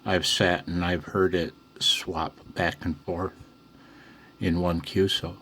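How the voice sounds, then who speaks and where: A man's voice: low voice, flat delivery, takes it slowly, fairly bright tone, a little hiss or hum. A man giving a casual, unscripted hands-on commentary as a radio hobbyist demonstrating gear indoors at home.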